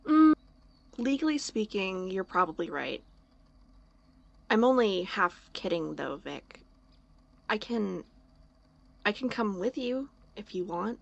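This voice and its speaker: A woman's voice: mid-range voice, very animated, slow, vocal fry, pauses often, lo-fi sound, heavily acted. A woman playing a teasing, stubborn, protective small-town young woman in audio drama dialogue, with a dry manner. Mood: concerned.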